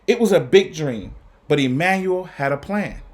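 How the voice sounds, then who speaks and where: A man reading a children's story aloud to students, with deliberate, emphatic phrasing and an earnest, encouraging manner. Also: a little high, animated. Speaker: guest reader for students.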